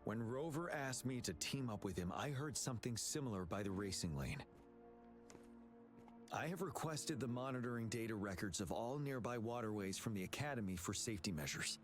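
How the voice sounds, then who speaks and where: A man playing a calm, commanding young general in a video game, speaking in a measured, formal, authoritative way. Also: mid-range voice, close to an everyday voice. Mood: grave, composed. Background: game music.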